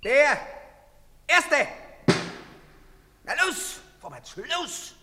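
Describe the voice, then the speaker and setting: An actor playing a brusque soldier who barks orders at townsfolk in a radio play. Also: male voice, very high voice, very animated, very slow, sharp tone, heavily acted. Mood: shouting. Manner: barking, commanding. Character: brusque young male soldier barking orders. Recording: long reverb.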